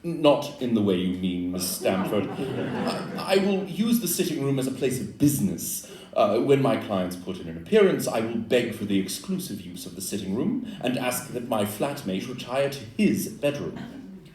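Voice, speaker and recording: A man acting a precise, self-assured Victorian gentleman detective with dry, formal wording in a live audio play. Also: mid-range voice, big pitch swings, normal speed, close to an everyday voice. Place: live performance in a club room. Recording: distant roomy live recording, faint noise.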